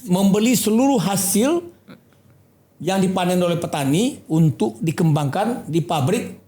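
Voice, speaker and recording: A man's voice: sits high, expressive, a little slow, dark tone, reverberant. A man hosting a talk show interview, teasing his guests and then making a formal appeal.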